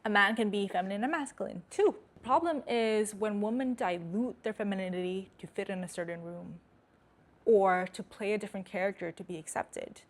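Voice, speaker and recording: A woman's voice: mid-range voice, brisk. A young woman with an American accent, hosting a casual vlog in a direct, emphatic, candid way. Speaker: host. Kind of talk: casual talking-head vlog.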